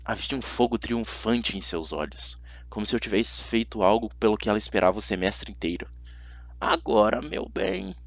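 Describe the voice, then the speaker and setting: A man with a Brazilian accent narrating a first-person story as an amateur audiobook reader, dramatic and expressive. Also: on the low side, brisk, few pauses, no room sound. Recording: slightly dull, dry audio.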